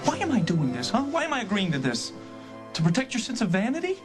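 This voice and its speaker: A man's voice: high voice, wildly swooping pitch. Devoted, pleading soap opera lover, male. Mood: hurt, resisting. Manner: earnest, pleading, halting. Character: devoted, earnest male lover, protective and stubborn. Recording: TV drama with prominent music underneath.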